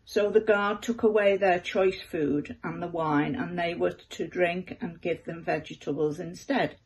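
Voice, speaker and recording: An older woman, around her seventies, reading aloud from the Bible in a measured manner at home. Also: fairly low pitch, fairly even intonation, poor audio. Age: older, about 70s. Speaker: scripture reader. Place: living room at home.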